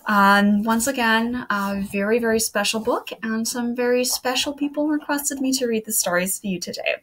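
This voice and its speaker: A woman's voice: on the high side, moderate pace, a bit bright. A woman in her thirties chatting to young listeners and family and reading children's poems aloud as a storyteller. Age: 30s. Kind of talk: casual introduction and read-aloud of children's poems.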